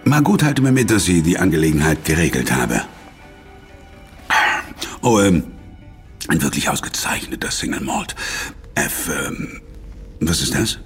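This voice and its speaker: An actor playing an obsequious, money-minded businessman in an audio drama, slightly stammering and flattering. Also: very deep voice, measured pace, long pauses, faint music behind, full character voice. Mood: smug, flattering.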